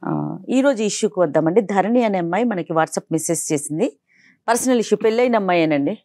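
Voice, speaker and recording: A woman's voice: medium pitch, lively intonation, a little fast, darkish tone, no room sound, clean studio sound. A woman hosting a counselling talk show, questioning guests in a direct manner.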